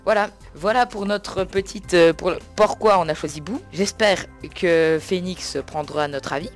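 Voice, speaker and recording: A fan with a mid-pitched voice gives a casual opinion. The delivery is hesitant and repetitive, with everyday slang and faint music underneath. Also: normal speed. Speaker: guest debater, anime fan. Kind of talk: casual improvised opinion talk.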